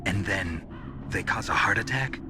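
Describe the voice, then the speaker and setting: A man's voice: on the low side, normal speed, background noise, lightly acted. An actor playing a stoic, world-weary soldier in a video game cutscene, terse and guarded as he asks questions. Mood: grim, questioning. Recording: loud music bed underneath. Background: loud dramatic music.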